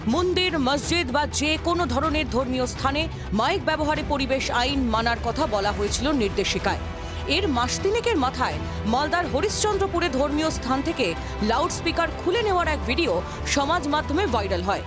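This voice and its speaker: A high-pitched news reporter voice with a West Bengal Bengali accent delivers scripted narration. Music runs clearly behind the voice. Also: expressive, brisk.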